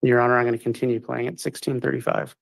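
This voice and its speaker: Male courtroom lawyer, gravelly edge, formal questioning style. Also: mid-range voice, flat delivery, quick pace.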